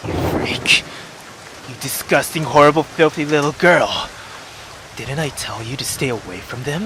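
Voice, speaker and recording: A man reading the lines of a cruel, doting mother who scolds her daughter in a soft, low, menacing voice. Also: noisy background, lightly acted.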